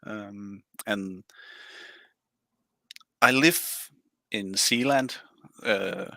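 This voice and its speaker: A man's voice: fairly low pitch, drawn-out words, bright, forceful tone, no echo. A man in his forties from Denmark, a guest chatting casually on a podcast and rambling with many restarts. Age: 40s. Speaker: guest, scenario writer.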